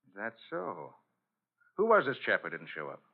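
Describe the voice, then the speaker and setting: Commanding radio detective: low voice, very animated delivery, dull vintage recording. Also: male voice, vocal fry, heavily acted. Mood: inquisitive. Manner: brisk, inquisitive, authoritative. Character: brisk, commanding male private detective. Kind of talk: 1940s radio drama acting.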